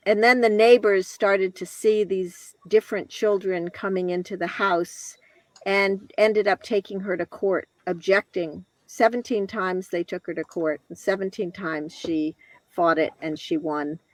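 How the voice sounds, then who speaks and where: An older American woman from Connecticut telling her life story off the cuff, reflective and halting, pausing between short phrases. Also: medium pitch, steady tone, no room sound, low-quality recording. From Connecticut, northeastern United States. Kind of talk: unscripted personal storytelling.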